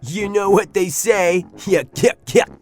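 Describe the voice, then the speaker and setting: A woman's voice: low voice, very animated, unhurried, faint music behind, voice pushed down. A woman voicing a big bullying male bear cub who taunts and mocks in a sing-song way. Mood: mocking.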